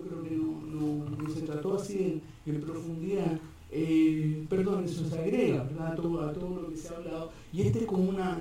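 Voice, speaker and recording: A man giving a talk at a community forum, pausing often and restarting his sentences. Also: a little high, dark tone, slight background noise. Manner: hesitant, many fillers and restarts. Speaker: park defender, invited speaker. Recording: echoey hall, mic far off.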